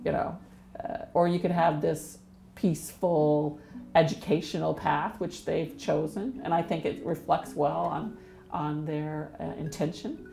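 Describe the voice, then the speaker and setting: Creaky-voiced woman in a roomy interview. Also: low-pitched, fairly even intonation, measured pace, dark tone, soft background music. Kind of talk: documentary interview.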